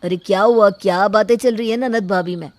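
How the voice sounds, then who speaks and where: A woman voices a young fiancé in a moral story drama, at a middling pitch for a female voice. He is indulgent and evasive, brushing things aside in a placating, dismissive way. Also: steady tone, brisk, a little mellow, no echo, lightly acted.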